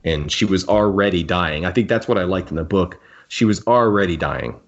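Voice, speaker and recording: A man's voice: deep voice, normal speed. A man hosting a casual podcast discussion in a wry, jokey, rambling way. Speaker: podcast host. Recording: dull, lo-fi audio.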